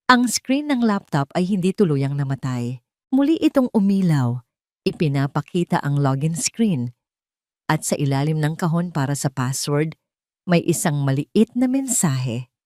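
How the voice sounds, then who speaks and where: A woman reading a dramatic scripted story in a deliberate, suspenseful way. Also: deep voice, very animated, warm tone, no echo, clean studio sound. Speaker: audio story narrator.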